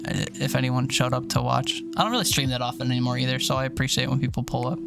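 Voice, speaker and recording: A man's voice: mid-range voice, fairly even intonation, fast, gravelly, over loud music. A tired man with a sore throat chats casually on a livestream, rambling and swearing in a self-deprecating way. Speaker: streamer reading a visual novel aloud.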